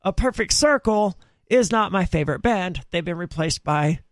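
Man, high pitched voice, rambling casual talk, self-correcting, dry close recording. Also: expressive, normal speed, no room sound. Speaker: podcast host. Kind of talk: casual chat about metal bands.

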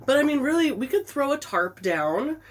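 A woman's voice: high-pitched, moderate pace. A woman co-hosting a podcast, telling a story in casual chat with lots of laughter while fighting a stuffy head cold. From Pacific Northwest. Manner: animated storytelling, laughing often. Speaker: podcast co-host.

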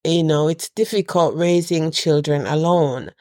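A woman's voice: sits low, a little slow, warm tone, no room sound, studio quality. A middle-aged woman hosting a podcast, sounding nasal as she gets over a cold, talking earnestly to her listeners with a few restarts. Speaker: podcast host. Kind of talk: casual holiday message to listeners.

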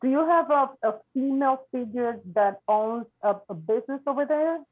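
Woman psychic, husky, halting and reassuring, heard through a thin phone line. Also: sits high. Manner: halting, repeats words, reassuring. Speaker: psychic reader. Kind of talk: spiritual reading.

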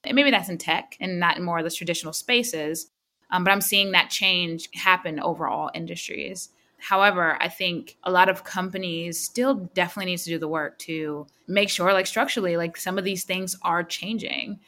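A panelist with a feminine voice, telling career stories candidly and matter-of-factly. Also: female voice, lowish voice, moderate pace, bright, forceful tone. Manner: candid, storytelling, matter-of-fact. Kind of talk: panel discussion.

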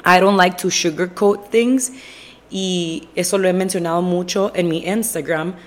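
A young woman hosting her own podcast in casual, direct chat, a little hoarse with a stuffy nose, slipping English phrases into her talk. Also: fairly low pitch, a little slow. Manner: direct, chatty, mixes English phrases into Spanish. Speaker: podcast host.

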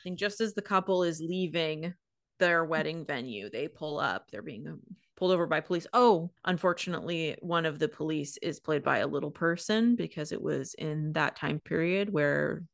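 A woman's voice: sits low, normal speed, vocal fry, no room sound, studio quality. A woman co-hosting a podcast, casually retelling and commenting with informal, wry asides. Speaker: podcast co-host.

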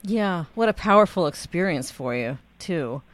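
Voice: medium pitch, lively intonation, moderate pace, dry recording. Attentive podcast interviewer. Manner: attentive, frequent soft backchannels. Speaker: interview host.

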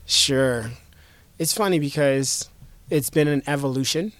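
A man in his thirties from the United States, an investor guest talking reflectively in an interview, in a measured way with repeated starts. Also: mid-range voice, fairly bright tone, no echo. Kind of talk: conversational interview.

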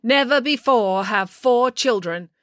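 A woman's voice: a little high, measured pace, a bit bright, no echo, studio quality, pitched above the performer's own voice. A woman voicing a long-winded, pompous female small-town mayor in a droning speechmaking manner for a story.

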